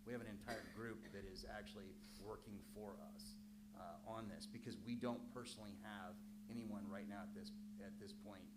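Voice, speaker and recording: A man serving as mayor, explaining a contract at a council meeting in a measured, halting way with many ums. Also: lowish voice, fairly even intonation. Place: council chamber. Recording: loud background hum.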